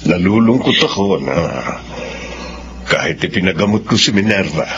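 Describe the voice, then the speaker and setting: A man's voice: sits low, lively intonation, moderate pace. A man playing a caring, middle-aged father and protective uncle in a Filipino radio drama. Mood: sorrowful. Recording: muffled audio with clear background noise.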